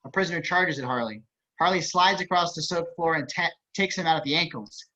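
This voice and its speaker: Bright-voiced man narrating a script. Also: a little high, brisk. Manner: wry, casual asides. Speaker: stage-direction narrator. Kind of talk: scripted narration at an online table read. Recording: dull video-call audio.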